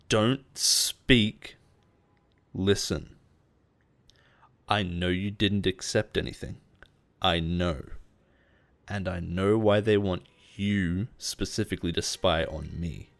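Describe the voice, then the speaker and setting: An actor playing a possessive male boss in an intimate roleplay audio drama, coaxing and quietly menacing. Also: deep voice, vocal fry, exaggerated voice. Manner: soft-spoken coaxing, chuckles and sighs, quietly menacing.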